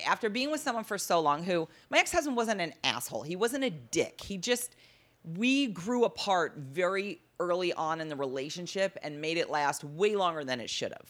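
A woman with a low, deep-timbred voice, telling stories in a casual interview and acting out the dialogue. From Las Vegas. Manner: animated, acts out quoted dialogue. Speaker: comedian guest.

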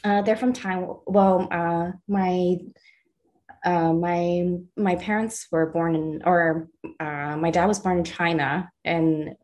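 A woman's voice: fairly low pitch, steady tone, slow pace, a little mellow. A young adult woman from the Los Angeles area, an artist chatting as an interview guest, laughing often and restarting her sentences. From Los Angeles area, USA. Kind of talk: casual interview conversation.

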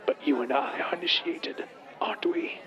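A man's voice: moderate pace, whispered, soft background music, some chatter behind, natural voice. A man playing a theatrical, menacing villain's henchman in a comedy parody, grandiose and formal, in muffled sound. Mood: theatrical menace. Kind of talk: comedy series acting.

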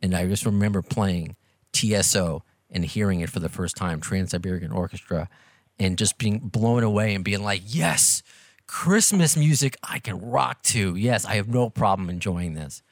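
A man's voice: low voice, normal speed, no echo, clean studio sound. A middle-aged man with a New York accent, hosting a podcast interview in a chatty, self-deprecating way. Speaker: podcast host and radio presenter. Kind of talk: casual interview chat.

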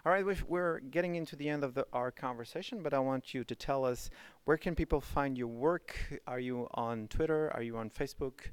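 A man's voice: mid-range voice, fairly quick, hardly any pauses, smooth, no echo. A man hosting a podcast, chatting casually with his guest and often restarting his sentences. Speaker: podcast host. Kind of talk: conversational interview.

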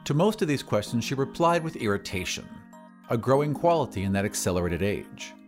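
A man's voice: mid-range voice, very animated, fairly quick. A man narrating a story as a scripted dramatic reading. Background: music.

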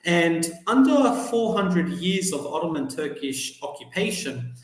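A man's voice: on the high side, sing-song, echoey room. A young Australian man, a guest on a podcast, explaining at length with frequent ums and uhs. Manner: measured explainer with fillers like um and uh. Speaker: guest, journalist and PhD student. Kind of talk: explanatory interview answers.

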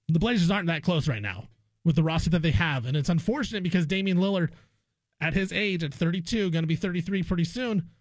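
High-voiced man ranting on sports radio. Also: no echo. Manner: emphatic, argumentative, repeats phrases for effect. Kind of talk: opinionated sports talk monologue.

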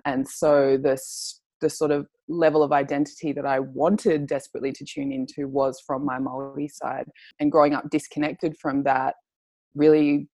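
A young Australian woman guest, speaking earnestly and searching for words, with many pauses and ums. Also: very deep voice, creaky, a little mellow, no room sound. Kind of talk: reflective personal storytelling.

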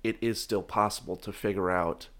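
A young man hosting a casual podcast, his voice hoarse from shouting, talking in a goofy, rambling way with frequent restarts. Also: on the low side, steady tone, moderate pace. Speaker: podcast host. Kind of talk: casual chat.

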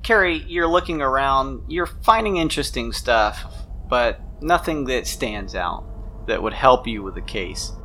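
A man's voice: medium pitch, lively intonation, measured pace, pushed and bright, a little hiss or hum. A man narrating a tabletop role-playing game as game master, improvising scenes in the second person.

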